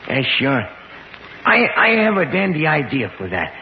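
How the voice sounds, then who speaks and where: An actor in a 1950s radio drama playing a slow-witted, eager night watchman, halting, stammering and folksy in his deference. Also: on the high side, wildly swooping pitch, creaky, exaggerated voice. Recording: muffled, hissy old 1951 radio recording.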